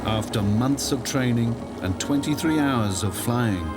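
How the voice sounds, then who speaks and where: A man giving the scripted narration of a documentary in a measured, even delivery. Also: medium pitch, over loud music.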